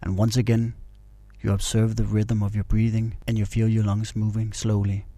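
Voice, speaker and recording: A man guiding a meditation in a calm, soothing, instructional way. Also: fairly low pitch, normal speed, no room sound. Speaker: meditation guide. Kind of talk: guided meditation narration.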